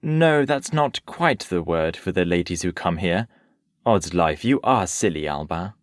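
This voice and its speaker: An actor playing a worldly, unruffled Parisian nobleman in an audio play, urbane and gently patronising. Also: low voice, animated, measured pace, dry recording, clean studio sound.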